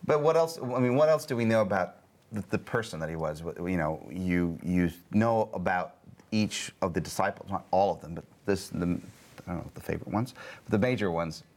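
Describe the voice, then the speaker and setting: A man's voice: deep voice, fairly quick, darkish tone. A man hosting a casual Bible study discussion, playful and joking as he asks questions. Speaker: host.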